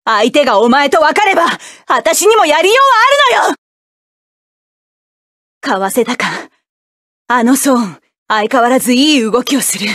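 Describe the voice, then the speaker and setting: Brief: cool, scornful female robot pilot; clipped battle barks, high voice, dry booth sound. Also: expressive, normal speed, long pauses, no room sound. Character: proud cool-headed female mecha pilot, sharp-tongued. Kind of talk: video game battle voice lines.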